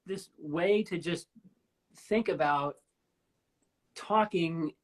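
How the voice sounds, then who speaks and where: A woman in her fifties with a deep voice, hosting a casual live-stream chat and telling stories with enthusiasm. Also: very slow, low-quality recording. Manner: enthusiastic, rambling storyteller. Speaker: host. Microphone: live stream from home.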